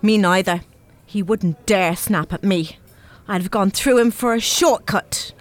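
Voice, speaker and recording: An actress playing a brisk, stingy, scornful grown daughter in a radio play, with an Irish accent and an emphatic delivery. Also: female voice, medium pitch, close to an everyday voice.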